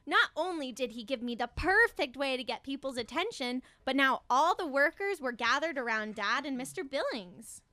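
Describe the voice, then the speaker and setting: A woman's voice: sits high, animated, normal speed, few pauses, bright, forceful tone. A young woman playing an eager, naive, chirpy schoolgirl who narrates her adventures in a student radio comedy. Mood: trusting, chatty. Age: young adult. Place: small radio studio with cast around microphones.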